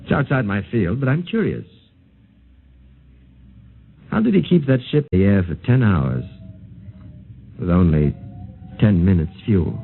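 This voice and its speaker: A calm, skeptical military doctor from a 1950s radio play. His low, gravelly voice is matter-of-fact yet varies freely in pitch. The old recording is a little muffled. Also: measured pace, pauses often, exaggerated voice. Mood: musing. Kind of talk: 1950s radio drama acting. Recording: muffled, slightly noisy vintage radio recording.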